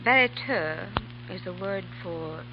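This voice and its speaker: An earnest young lady in love, pleading with the man she loves. Her voice is medium in pitch. The sound is dull, off an old radio broadcast with faint hum. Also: unhurried. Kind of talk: 1930s radio drama acting.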